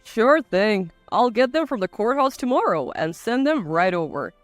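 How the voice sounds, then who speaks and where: A woman's voice: on the high side, very animated, moderate pace, no room sound, soft background music, voice pushed down. A woman voicing an arrogant, scornful husband in a dramatized story, with blunt delivery. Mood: mocking. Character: arrogant, scornful adult husband, quick to bluster.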